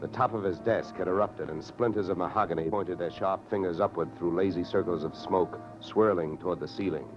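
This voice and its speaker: An old, dull-sounding radio drama recording with music. A man plays a hard-boiled male investigator with a low voice, clipped, wry and unflappable, in 1940s detective style. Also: fairly quick, few pauses, lightly acted. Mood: dry narration. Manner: clipped, wry, matter-of-fact. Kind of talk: 1940s radio detective acting and first-person narration.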